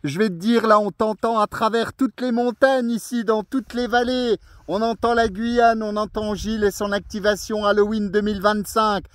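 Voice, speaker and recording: A man's voice: sits high, steady tone, normal speed, few pauses, no room sound, studio quality. A man chatting in a friendly way as a portable radio operator, his voice coming through a radio transmission. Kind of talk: friendly radio contact chat. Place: outdoors on a mountain, heard over radio.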